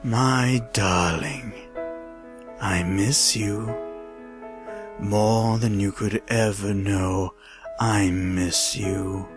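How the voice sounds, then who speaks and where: A man playing a mysterious, sorrowful lover who pleads with drawn-out, deliberate longing in a horror story. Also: fairly low pitch, fairly even intonation, over music. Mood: longing, mournful. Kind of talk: horror story character acting.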